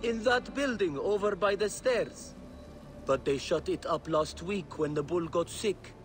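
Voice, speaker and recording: An actor playing a lowly, helpful temple worker with a colloquial, unpolished way of talking in a video game. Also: male voice, a little slow. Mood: matter-of-fact. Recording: music behind the voice. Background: music.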